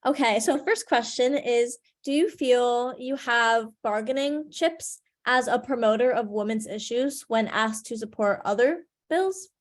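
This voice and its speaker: A woman moderating a panel on a video call, reading out audience questions in a polite, measured way. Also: fairly high pitch.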